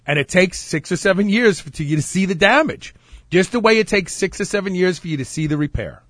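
A man's voice: high-pitched, animated, brisk, fairly bright tone, dry recording, studio quality. Upbeat man in his fifties hosting. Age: 50s. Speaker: radio show host. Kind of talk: casual radio show chat.

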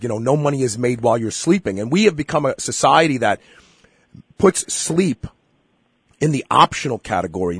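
A man hosting a radio health show, hoarse from being ill, talking in a chatty, emphatic way with many fillers. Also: medium pitch, no room sound, studio quality. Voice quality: husky. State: hoarse from illness. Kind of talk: casual radio talk.

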